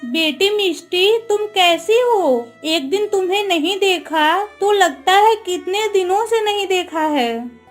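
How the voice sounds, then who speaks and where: A woman plays a motherly cartoon queen with a very high voice and slow, formal phrasing. She is tender and full of worry. Soft music sits behind her. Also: lively intonation, heavily acted. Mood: tender concern. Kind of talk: Hindi cartoon story dubbing.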